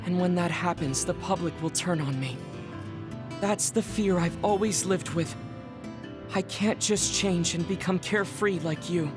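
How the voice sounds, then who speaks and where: A man voicing a reserved young male soldier who hides a secret, speaking formally and softly. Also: sits high, unhurried. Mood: worried, formal. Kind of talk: game cutscene dialogue. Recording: game audio with music behind.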